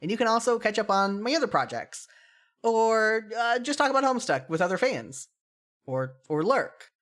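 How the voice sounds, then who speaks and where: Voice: mid-range voice, sing-song, moderate pace, a bit bright. Podcast host, mid-range voice, solo commentary; earnest, opinionated, casually self-deprecating.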